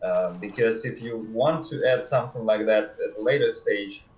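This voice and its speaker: A man speaking as a guest game developer, explaining things and often halting to search for words. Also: fairly low pitch, darkish tone. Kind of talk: explanatory livestream discussion. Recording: dull, roomy sound with faint music.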